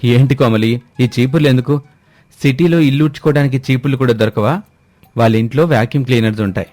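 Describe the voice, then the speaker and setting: A man's voice: medium pitch, quick pace, darkish tone, dry recording, natural voice. Man voicing a wry, exasperated old village husband. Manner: sardonic, reasoning. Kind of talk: scripted comic family story acting. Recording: dry studio, music behind.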